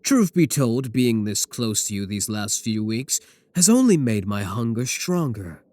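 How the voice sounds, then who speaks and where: A man playing a possessive werewolf professor in an intimate ASMR roleplay, speaking deliberately with coolly affectionate menace. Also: fairly low pitch, very animated, no echo. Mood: tender, hungry. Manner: slow, deliberate, soft chuckles, pet names. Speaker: voice actor in a roleplay audio.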